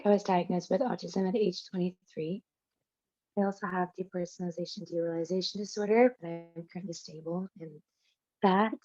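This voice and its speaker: A woman's voice: fairly low pitch, dark tone. Woman on dull lo-fi video call. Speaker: instructional support specialist. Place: bedroom, sitting on bed.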